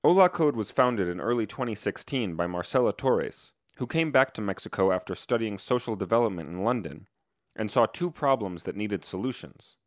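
A man reporting, reading a scripted news narration with measured, even delivery. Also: lowish voice, no room sound, clean studio sound.

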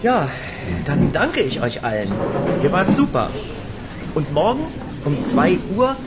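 An actor playing a friendly radio reporter who interviews pupils with clear, expressive questions in a scripted language-course radio drama. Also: mid-range voice, takes it slowly, over loud music.

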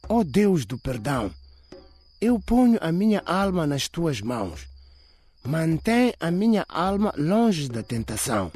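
A man's voice: fairly high pitch, big pitch swings, measured pace, a little mellow, no room sound, voice pushed up. A man voicing a determined, implacable and pious mother in a radio drama. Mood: implacable, praying.

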